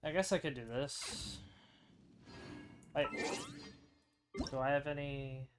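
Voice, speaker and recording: A young man giving casual gameplay commentary, muttering to himself in halting, half-finished thoughts. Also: medium pitch, steady tone. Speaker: gamer playing on a stream or recording. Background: game music.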